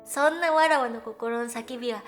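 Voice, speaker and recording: A woman's voice: fairly high pitch, moderate pace, close to an everyday voice. A woman voicing a proud, thousand-year-old cat goddess who tells old tales in lordly, archaic speech, grumbling but fond. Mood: exasperated, fond. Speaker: virtual streamer in character. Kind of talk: dramatic first-person storytelling monologue. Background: music.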